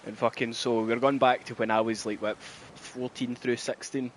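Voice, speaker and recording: A Scottish man of thirty talks in a relaxed, rambling way. He swears freely and his voice sits at an ordinary middle pitch. Faint background noise runs under the recording. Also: normal speed. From Scotland. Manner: laid-back and swearing, with lots of laughter. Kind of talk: casual chat.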